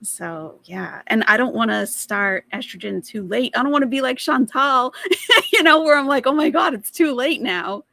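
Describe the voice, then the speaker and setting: A woman's voice: fairly high pitch, lively intonation, moderate pace, gravelly, bright, forceful tone, no room sound. A woman in her forties chatting casually as she reacts to a video, often turning to her viewers with questions and laughing. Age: 40s. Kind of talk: casual commentary reacting to a clip.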